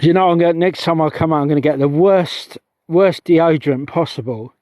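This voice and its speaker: A man's voice: on the high side, brisk, darkish tone, dry recording. A middle-aged man livestreaming outdoors on a heath, talking in a rambling, meandering way that keeps restarting itself. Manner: chatty, meandering, self-interrupting. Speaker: UFO investigator vlogger.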